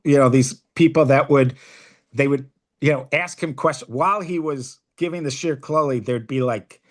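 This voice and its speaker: A middle-aged man shares memories on a podcast, with a voice of ordinary pitch. He speaks in a halting way, restarting sentences and reaching for words as he goes. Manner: halting, searching for words with many fillers. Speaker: podcast co-host, rabbi. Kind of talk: casual reminiscing conversation.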